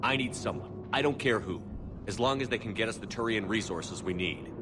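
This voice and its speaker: A man's voice: on the low side, lively intonation, brisk, noisy background, natural voice. A man voicing a stern, determined military commander in a video game, speaking in a terse, no-nonsense way. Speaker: video game lead character. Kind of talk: cinematic game dialogue.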